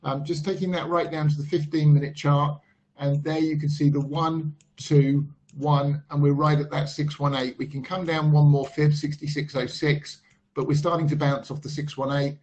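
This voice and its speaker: A man, losing his voice a little, talking through trading charts in a measured way with frequent pauses and ums. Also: on the high side, little pitch movement, darkish tone. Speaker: market analyst. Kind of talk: screen-share chart commentary. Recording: slightly dull lo-fi voice-over.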